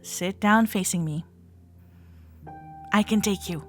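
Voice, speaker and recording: A woman playing an affectionate, gently teasing cuddler in ASMR roleplay audio, soft-spoken and often slipping into a breathy whisper. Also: mid-range voice, slow pace, lightly acted. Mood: flattered, playful. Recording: soft cafe jazz music behind. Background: soft background music.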